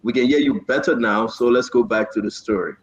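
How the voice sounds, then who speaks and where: A man's voice: mid-range voice, brisk. Man hosting live talk, roomy echo. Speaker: talk show host. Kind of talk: live call-in talk show hosting, conversational. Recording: roomy echo, dull lo-fi sound.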